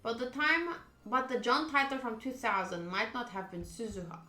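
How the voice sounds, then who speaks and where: A woman's voice: a little high, moderate pace, bright, forceful tone. A woman streaming a visual novel, reading its narration aloud with casual reactions. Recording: roomy, echoing voice track. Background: game music.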